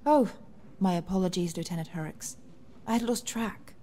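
A woman playing a composed, courteous rebel military leader in a science-fiction audio drama, formal and measured. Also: lowish voice, warm tone, slight background noise, natural voice.

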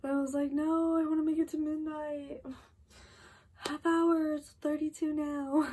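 A woman's voice: high voice, level tone, measured pace, warm tone. An eighteen-year-old woman chatting to camera late at night in her bedroom, tired and rambling with lots of "like" and "literally". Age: 18, about to turn 19. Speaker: vlogger. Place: bedroom at home, indoors.

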